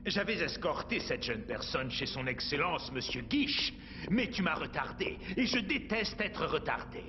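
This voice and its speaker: A man's voice: on the high side, very animated, unhurried, background noise. A man acting a cold, threatening militia captain in a dubbed cartoon, haughty and menacing. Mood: cold menace. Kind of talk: dubbed animated series acting. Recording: muffled sound with music underneath.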